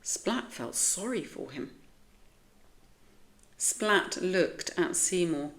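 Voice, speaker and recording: Unhurried British woman storyteller. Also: on the low side, lively intonation. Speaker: storyteller reading aloud. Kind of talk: expressive scripted children's story narration.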